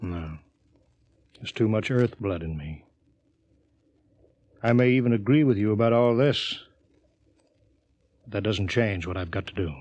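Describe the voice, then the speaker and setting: An actor playing a steady, reasoning rocket crew captain in a 1950s radio drama, terse and measured. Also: male voice, lowish voice, no room sound, highs cut off. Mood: grave, regretful. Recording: old 1950 radio recording.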